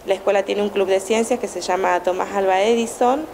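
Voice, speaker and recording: A woman's voice: mid-range voice, steady tone, fairly quick, darkish tone, slight background noise. A woman, a teacher answering news interview questions with many fillers and restarts. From Argentina. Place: indoor room at a school event. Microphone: handheld TV station microphone held close.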